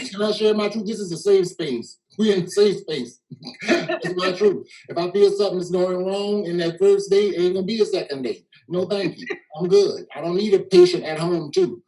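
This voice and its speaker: A man's voice: high voice, a little slow, warm tone, rough recording. A man with a heavy Louisiana and Texas Southern accent, hosting a panel in a loose, joking way full of slang. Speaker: host. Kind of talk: casual hosted chat.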